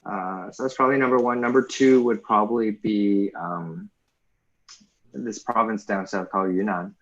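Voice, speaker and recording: A man with a Canadian accent giving casual, thoughtful answers as an interview guest, pausing to think as he goes. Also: on the low side, fairly even intonation, fairly bright tone. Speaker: interview guest, teacher community lead. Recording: dull, lo-fi call audio.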